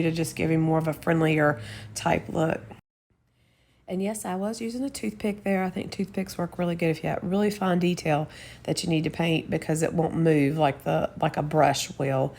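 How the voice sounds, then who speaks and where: Friendly Southern woman host, slightly low, a bit hoarse and scratchy, easygoing step-by-step craft chat. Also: mellow. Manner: chatty, homey, explains each step. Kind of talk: casual DIY voice-over.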